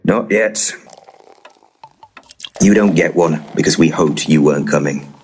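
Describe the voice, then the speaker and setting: A deep-voiced male ghost hunter in a comic audio play. He is grumpy and boozy, speaking in a curt deadpan that carries a pompous, self-important streak. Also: measured pace, a little hiss or hum. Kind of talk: full-cast comic audio drama.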